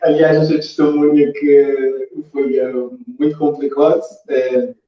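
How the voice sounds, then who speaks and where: Male interview guest, Cape Verdean accent, mellow and hesitant, heard from far off in a very reverberant space. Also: mid-range voice, little pitch movement, slow. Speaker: interview guest, animation creator. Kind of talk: casual interview answers. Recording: very echoey, distant, dull sound.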